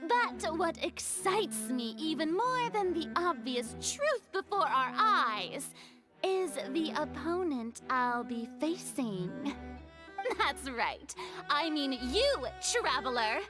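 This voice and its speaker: Pompous young noblewoman, very high voice, slow savoured delivery, theatrical, taunting, anime game. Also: sing-song, heavily acted. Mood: grandiose challenge. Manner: pompous, dramatic, grandiose. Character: theatrical, haughty young lady ruler, showboating and taunting. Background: background music.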